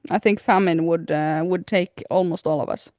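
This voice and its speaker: A woman's voice: fairly low pitch, fairly even intonation, moderate pace, a little mellow, no room sound. Woman podcaster, dry close sound. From Norway. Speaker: podcast co-host. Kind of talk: casual chat.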